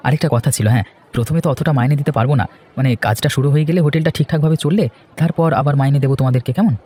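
Man voicing fast-talking, earnest village husband. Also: on the high side, mellow, slightly rough. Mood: friendly, earnest. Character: village husband and elder brother, earnest, reassuring planner. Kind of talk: cartoon voice acting.

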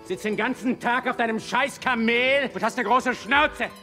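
A rude, snapping ruler. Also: male voice, extremely high pitch, moderate pace, faint music behind. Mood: irritable. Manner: rude, snapping, shouty insults. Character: brash, irritable male ruler in a comedy film.

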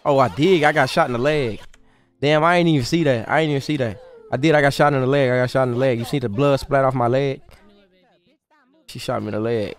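A man livestreaming a roleplay game, commenting excitedly in boastful, slangy, profane casual talk. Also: medium pitch, quick pace, soft background music. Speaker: live game streamer.

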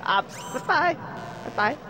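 A man's voice: very high voice, animated, unhurried, background noise. A man voicing a cowering, foul-mouthed weasel scientist in a video game, stammering and grumbling with a German accent. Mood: nervous, servile.

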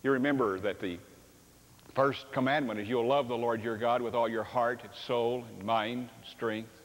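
Older pastor, animated stage preacher, long reverb of a large church. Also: male voice, medium pitch, normal speed. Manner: animated, acts out dialogue with sweeping gestures. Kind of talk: sermon.

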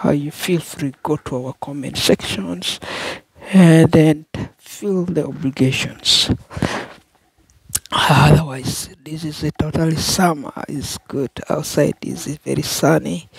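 A middle-aged man who is not a native English speaker, rambling slowly off camera and repeating words over and over as he walks through his screen and apartment on a phone camera. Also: a little high, creaky, breathy edge, no room sound, rough recording. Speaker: channel host. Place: apartment room with a window view. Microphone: phone camera held off-camera.

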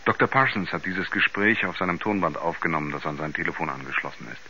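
Low, sober male narrator. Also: animated, bright, forceful tone, a little hiss or hum, exaggerated voice. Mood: sober, grave. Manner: measured, matter-of-fact. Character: sober male narrator recounting a friend's disappearance. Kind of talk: scripted radio drama narration. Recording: muffled 1970s radio play recording.

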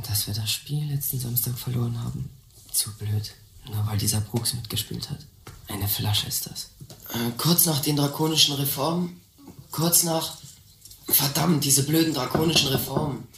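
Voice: medium pitch, normal speed, gravelly, fairly bright tone, echoey room, a little hiss or hum. A performer playing a restless, impatient teenage schoolboy in a radio play, brash, slangy and grumbling. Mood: distracted, grumbling. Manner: impatient, muttering to himself, slangy. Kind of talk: German radio play acting.

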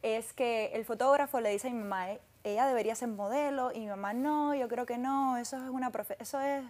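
A young adult woman with a Cuban accent talks in a fairly high voice. She tells stories as an interview guest, informal and halting, dropping in filler phrases. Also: darkish tone. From Cuba. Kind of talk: casual personal storytelling. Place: television studio set with sofas.

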